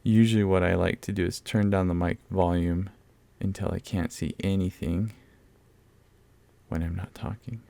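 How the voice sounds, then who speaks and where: A man's voice: low-pitched, fairly even intonation, normal speed, gravelly, mellow. A man talking casually and explaining things as he demonstrates a product in a review, recorded at home on a condenser mic. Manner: conversational, explanatory. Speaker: microphone reviewer. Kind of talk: casual product demo talk. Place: open room at home, in a dome house. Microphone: condenser mic on a mixer, fairly close.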